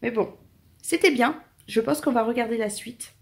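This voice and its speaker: Chatty French woman with a gravelly edge. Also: on the high side, moderate pace. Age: 20s. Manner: chatty, rambling, talks softly to her cats. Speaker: family vlogger. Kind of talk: casual chat to camera.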